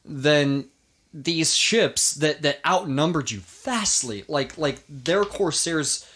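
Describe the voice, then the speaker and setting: A man's voice: on the high side, animated, slow pace, a bit bright. A man hosting a podcast, telling history in an informal, emphatic way with casual banter.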